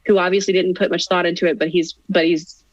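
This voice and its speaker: A young adult woman, a singer and actress, chatting as a podcast guest, animated and laughing, often restarting her sentences. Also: on the low side, quick pace, no echo, dull audio. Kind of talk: casual interview chat.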